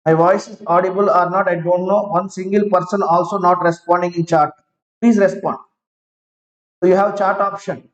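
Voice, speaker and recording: A man teaching an online class, talking slowly, repeating each phrase and checking that students follow. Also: sits high, steady tone. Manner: slow, repeats each phrase several times; asks students to confirm they follow. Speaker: IT trainer. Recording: roomy, dull lo-fi online class audio.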